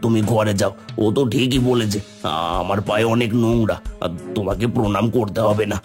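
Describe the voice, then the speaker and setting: A man's voice: lowish voice, fairly even intonation, brisk, darkish tone, lightly acted. A man voicing a meek, kindly older village father in a cartoon, humble and placating in the way he talks. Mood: meek, flustered. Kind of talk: cartoon moral-story voice acting. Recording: music clearly behind the voice.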